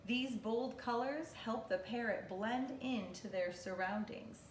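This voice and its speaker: Slow-paced woman reading aloud in a roomy space. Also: a little high. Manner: expressive, instructive, asks and answers questions. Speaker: kindergarten teacher. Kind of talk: read-aloud to young children with questions. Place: living room at home, indoors. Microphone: camera or phone a few metres away. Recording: roomy, distant mic, faint noise.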